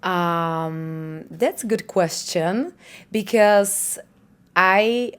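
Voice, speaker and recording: Smooth-voiced woman designer. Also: on the low side, very slow, fairly bright tone. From Czech Republic. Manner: earnest, spiritual, lots of "you know" and restarts. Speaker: fashion designer. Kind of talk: casual interview answers.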